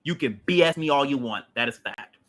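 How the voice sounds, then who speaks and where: A man's voice: medium pitch, lively intonation, brisk, fairly bright tone. A man, hosting a livestream and ranting about politics in a heated, emphatic, repetitive way with blunt swearing. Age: late 30s. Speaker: livestream host.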